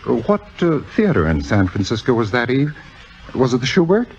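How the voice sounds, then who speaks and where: An actor playing a suave, self-important theater critic, urbane and insinuating, in a 1950s radio drama. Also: male voice, mid-range voice, big pitch swings, moderate pace, darkish tone. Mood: suave, probing. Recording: dull old radio recording.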